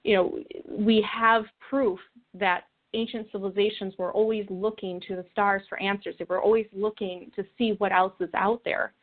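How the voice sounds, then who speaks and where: A woman guest explaining her views in an interview, earnest and reflective, with halting phrasing. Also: medium pitch, little pitch movement. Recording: thin phone-like call audio.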